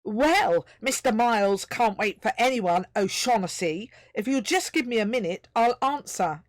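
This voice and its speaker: A woman voicing a tiny, excitable male magical being, blurting and gushing. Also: a little high, takes it slowly, dry recording, voice pushed up. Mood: smug.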